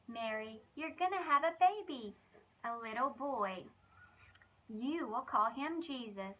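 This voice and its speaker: A glad, solemn angel messenger read by a woman with a high, bright voice. He reassures and proclaims emphatically, as in a children's storybook. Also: expressive, slow, muffled recording, full character voice.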